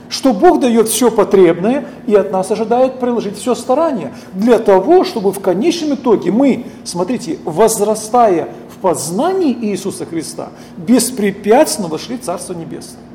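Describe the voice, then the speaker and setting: A middle-aged man preaches with a voice that rises and falls widely. He reads scripture slowly, then breaks off to ask his listeners questions and call them friends. His tone is mellow and warm, with a faint hiss under it. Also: high voice. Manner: deliberate, keeps addressing listeners as friends, asks rhetorical questions. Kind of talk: sermon with scripture reading.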